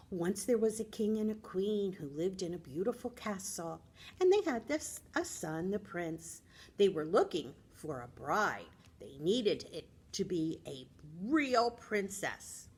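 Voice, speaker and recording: An American woman presenting as a children's librarian, speaking to young children in an expressive, teacherly way with simple phrasing. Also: medium pitch, a little slow, slightly rough. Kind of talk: storytelling talk for young children.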